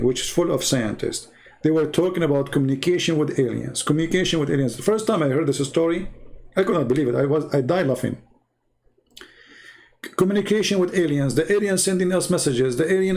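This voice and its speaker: Gravelly man, rambling and scornful. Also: on the high side, a little mellow. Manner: rambling, scornful, repeats himself. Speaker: online commentator. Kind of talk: casual monologue.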